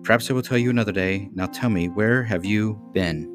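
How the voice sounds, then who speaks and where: A man's voice: lowish voice, fairly quick, loud music behind the voice, voice pushed up, natural voice. A man voicing a sceptical, easily vexed nursemaid in a storybook, with a scolding, dismissive manner. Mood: fussing.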